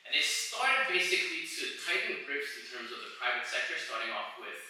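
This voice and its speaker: A man in his thirties, an investment specialist, explaining market views on a panel in a measured way with some fillers. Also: mid-range voice, expressive, pushed and bright. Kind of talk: panel discussion. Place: event hall. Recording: echoey hall, distant mic.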